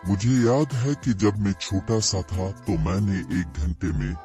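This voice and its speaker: Swaggering tall-tale hunter, acted by a man: very low voice, scornful boasts, music bed underneath. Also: unhurried, dark tone, close to an everyday voice. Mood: boastful. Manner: bragging, scornful. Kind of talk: children's audio story dialogue. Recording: voice over clear background music.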